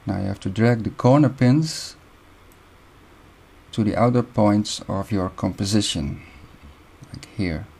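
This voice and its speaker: Gravelly Dutch-accented man teaching software. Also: on the low side. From Netherlands. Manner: steady step-by-step instruction with short pauses. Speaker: video editing tutor. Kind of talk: screen-recorded software tutorial.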